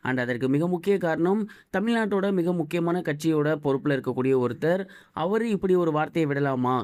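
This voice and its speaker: A young man presenting a fast news recap for an online channel, brisk and mixing English words into his talk. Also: fairly high pitch, darkish tone, dry recording, clean studio sound.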